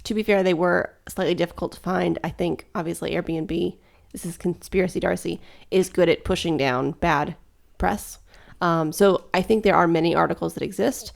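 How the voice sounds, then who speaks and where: A woman co-hosting a travel podcast in casual chat, her voice gravelly this morning. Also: fairly low pitch, steady tone, moderate pace, few pauses. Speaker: podcast co-host.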